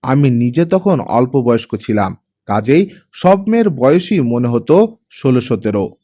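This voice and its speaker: A man's voice: medium pitch, sing-song, unhurried, warm tone. A man voicing a composed male family friend in an audiobook, patient and lightly teasing as he explains himself. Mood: explaining.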